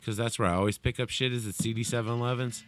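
A man's voice: mid-range voice, steady tone, rapid-fire, a bit bright, soft background music. A man co-hosting a casual chat, rambling and swearing freely in a laid-back way. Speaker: podcast co-host.

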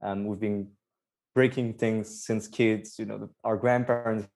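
A man's voice: on the low side, little pitch movement, a little slow, vocal fry, a little mellow. Chatty young man from Belgium. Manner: upbeat, explains at length with fillers. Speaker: startup co-founder guest. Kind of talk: casual podcast interview.

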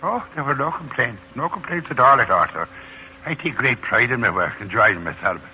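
A man's voice: medium pitch, expressive, brisk, natural voice. A man acting a deferential, lilting manservant, old radio. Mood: blandly evasive. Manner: deferential, evasive, formally polite. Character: unflappable, deferential manservant sent by an employment agency. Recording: muffled 1940s radio broadcast, faint noise.

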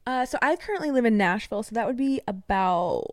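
A fairly high-voiced young woman from East Tennessee talks as a podcast guest, swearing freely through heated rants. The sound is close and dry, with no room around it. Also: lively intonation, brisk, no room sound. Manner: heated, profanity-laced rants. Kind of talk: casual podcast conversation.

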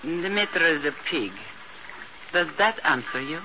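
An actress playing a proud, coolly threatening woman informant, speaking formally and deliberately in a radio drama. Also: low-pitched, expressive. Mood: coldly determined. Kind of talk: 1950s radio drama acting. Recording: noisy, dull 1950s radio recording.

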